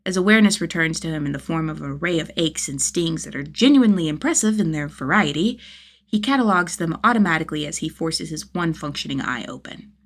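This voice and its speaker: A woman reading a story as narrator, in expressive scripted narration. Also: deep voice, fast talker. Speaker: podfic narrator.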